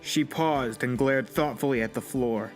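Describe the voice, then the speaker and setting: A man's voice: medium pitch, measured pace, faint music behind. A man reading scripted narration as the narrator of an audio drama.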